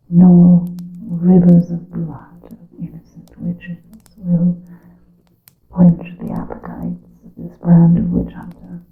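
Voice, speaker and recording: A woman playing a secretive, solemn witch in an ASMR roleplay, whispering slowly in archaic formal words, with a creaky breathy voice. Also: lowish voice, exaggerated voice. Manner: slow, deliberate, archaic formal wording. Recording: muffled narrow-band sound with room echo.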